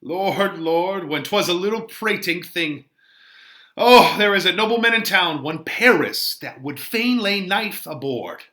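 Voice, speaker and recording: A man's voice: high-pitched, lively intonation, unhurried, bright, forceful tone, roomy sound, voice pushed up, heavily acted. A man voicing a chatty, fussy, protective older nursemaid, rambling and exclaiming theatrically in a Shakespeare play reading. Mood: gossipy, fussing.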